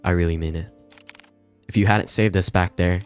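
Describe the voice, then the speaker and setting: A man's voice: deep voice, steady tone, moderate pace, lightly acted. A man voicing a weary, blunt male detective in a visual novel, with a tired, dry way of talking. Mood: earnest. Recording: music behind the voice.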